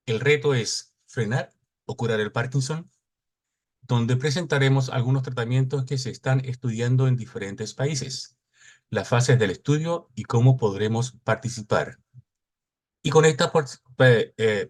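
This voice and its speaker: A middle-aged man from Chile, giving a formal welcome speech and reading out a conference agenda in a measured, announcing way. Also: mid-range voice, darkish tone. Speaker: conference cofounder and opening speaker.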